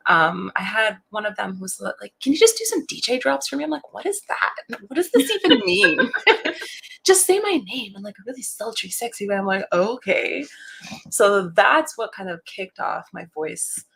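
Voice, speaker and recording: A woman guest in a casual interview, talking about her work with a relaxing voice and an animated, laughing way of telling stories. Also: mid-range voice, normal speed, gravelly, husky. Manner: warm, animated storyteller who laughs often. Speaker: interview guest, voice actor and creative director.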